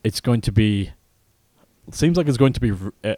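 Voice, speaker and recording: Low-voiced man co-hosting a gaming podcast. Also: expressive, warm tone, no echo. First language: not Polish. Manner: halting, many fillers and restarts, apologetic. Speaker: podcast co-host. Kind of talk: casual chat, reading news.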